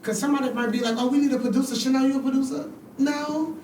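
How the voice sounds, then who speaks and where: High-voiced woman co-host, echoey. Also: fairly even intonation, fast talker, warm tone, a little hiss or hum. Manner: frequent affirmations, playful quoting. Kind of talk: casual chat. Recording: echoey room, mic at a distance.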